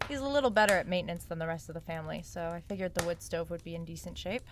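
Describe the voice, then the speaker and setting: A woman's voice: lowish voice, rapid-fire, background noise, natural voice. A woman playing a gutsy, sardonic young northern woman on the run in an audio drama, with a blunt, wry delivery. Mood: needling, quieter. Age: young adult.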